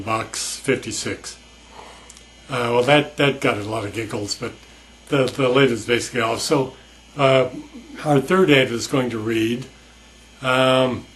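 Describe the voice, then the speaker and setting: A man's voice: medium pitch, poor audio. Wry man telling an old anecdote. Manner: wry, deadpan storytelling with many restarts. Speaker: storyteller. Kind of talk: casual spoken anecdote.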